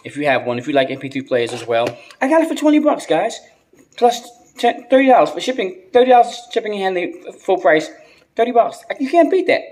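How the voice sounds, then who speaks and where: A man's voice: high-pitched, very animated, fairly quick, darkish tone. A man hosting a casual gadget review vlog, speaking in slangy phrases and often repeating his words. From United States. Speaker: gadget reviewer and video host.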